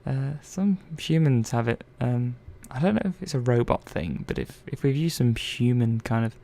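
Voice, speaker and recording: A man's voice: medium pitch, very animated, moderate pace, vocal fry, dark tone. A man playing a chatty, caring robot technician in an ASMR roleplay, talking hesitantly and reassuringly to one listener. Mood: chatty, musing. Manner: hesitant, rambling, reassuring, murmured asides. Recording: faint background noise and ambience.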